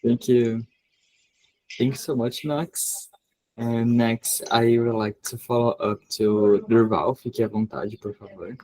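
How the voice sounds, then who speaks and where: Slow, relaxed male host. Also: mid-range voice, low-quality recording. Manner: relaxed, inviting speakers in. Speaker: host moderating the call. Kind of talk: moderating a video call. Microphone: video call.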